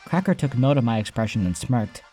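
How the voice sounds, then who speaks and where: A man's voice: mid-range voice, animated, brisk, warm tone. A man reading a scripted first-person story as an audiobook narrator, with soundtrack music behind him.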